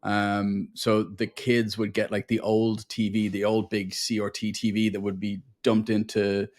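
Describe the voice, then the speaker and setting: A man from Ireland hosting a relaxed interview over a video call. His voice sits fairly low, and he chats along in a friendly way with plenty of ums and uhs. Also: unhurried. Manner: friendly, chatty, with fillers. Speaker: host.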